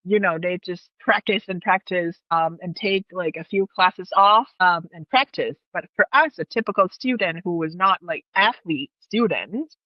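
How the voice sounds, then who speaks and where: A woman's voice: fairly low pitch, moderate pace, hardly any pauses, no echo, muffled recording. A woman from Thailand with a Thai accent, telling personal stories casually as an interview guest in her second language.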